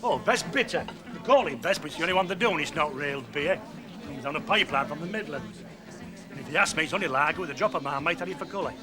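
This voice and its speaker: An actor playing an older pub regular who rambles and grumbles about the past. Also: male voice, on the high side, lively intonation, fast, lightly acted. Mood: grumbling. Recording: music behind.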